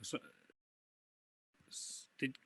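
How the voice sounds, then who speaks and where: A man's voice: extremely slow, sharp tone. A man moderating a conference, introducing the next speaker with a hesitant, stumbling delivery.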